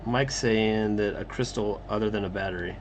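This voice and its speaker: A man's voice: on the low side, slight background noise. A man hosting a casual livestream while drawing comics, chatting with co-hosts who can't be heard. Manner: relaxed, talks to unheard co-hosts, halting.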